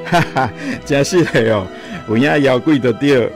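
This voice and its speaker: An easygoing rural peddler as a man plays him, speaking in a mid-range voice with a Taiwanese accent. It is radio drama acting with clear music underneath. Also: mid-range voice, moderate pace, lightly acted. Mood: amused.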